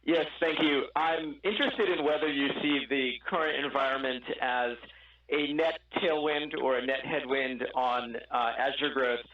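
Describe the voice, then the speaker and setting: Mid-pitched man on an echoey call line. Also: fairly even intonation, a little slow, far from the mic, muffled recording, a little hiss or hum. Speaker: financial analyst caller. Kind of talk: asking a question on an earnings call.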